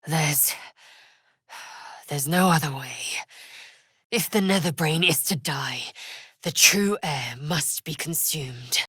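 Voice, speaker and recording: Haughty alien warrior woman, husky, deliberate, imperious, battle-hungry, video game character. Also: low-pitched, bright, forceful tone, no echo, clean studio sound, heavily acted. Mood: grave, measured. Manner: imperious, clipped, formal, disdainful.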